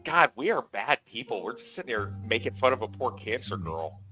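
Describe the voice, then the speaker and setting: A man co-hosting a rewatch show, joking with sarcastic, dark humor in casual chat. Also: mid-range voice, big pitch swings, brisk. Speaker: rewatch podcast co-host.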